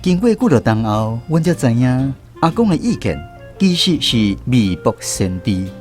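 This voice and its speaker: A man's voice: medium pitch, very animated, normal speed, darkish tone, soft background music. A man with a Taiwanese accent narrating a scripted first-person story as a radio drama storyteller.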